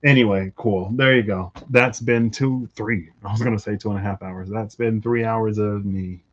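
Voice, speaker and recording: A man thinking out loud in a rambling, reflective monologue for his tarot channel, full of fillers and restarts. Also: on the low side, steady tone, normal speed, dull audio. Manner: musing, lots of fillers and restarts. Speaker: tarot reader vlogger.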